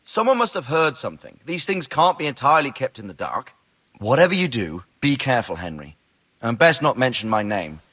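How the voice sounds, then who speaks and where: An actor playing an authoritative, cautious older lord in a video game, commanding yet fatherly, with formal and deliberate phrasing. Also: mid-range voice, big pitch swings, a bit bright, husky. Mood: commanding, urging. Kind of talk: dramatic video game dialogue.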